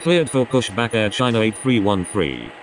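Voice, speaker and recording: A man's voice: on the low side, very animated, normal speed, low-quality recording. A man playing a businesslike airline pilot in a game, reciting scripted radio phraseology in clipped phrases. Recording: loud jet engine noise.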